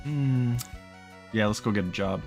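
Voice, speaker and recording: A man's voice: fairly low pitch, unhurried, gravelly, darkish tone, highs cut off. A man narrating a visual novel as he plays it, casually, with joking asides. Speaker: let's-play host reading game text aloud. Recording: faint music behind voice.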